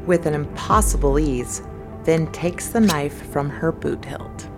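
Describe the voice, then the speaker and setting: A woman's voice: sits low, unhurried, creaky, over loud music. A woman reading scripted narration for an audio drama space adventure. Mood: measured.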